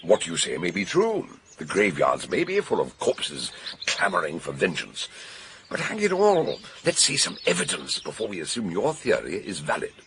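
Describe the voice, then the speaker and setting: An actor playing a blunt British detective who reasons emphatically in a radio mystery drama. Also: male voice, low-pitched, wildly swooping pitch, fairly bright tone, a little hiss or hum, exaggerated voice. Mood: emphatic, argumentative. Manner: emphatic, argumentative, deliberate.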